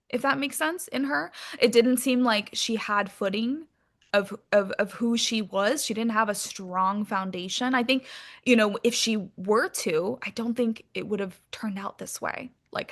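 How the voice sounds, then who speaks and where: A woman speaking as an interview guest in a candid, casual chat, animated and informal, peppering her talk with filler phrases. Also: mid-range voice.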